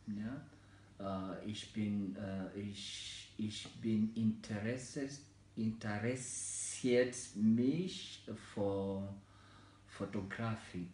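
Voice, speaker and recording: A man in his twenties from Kenya, whose first languages are Mijikenda and Swahili, speaking careful learner's German with frequent pauses and fillers. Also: on the low side, steady tone, a little mellow, roomy sound, a little hiss or hum, poor audio. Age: 20s. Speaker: German learner in a practice interview. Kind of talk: slow, halting learner German with many fillers.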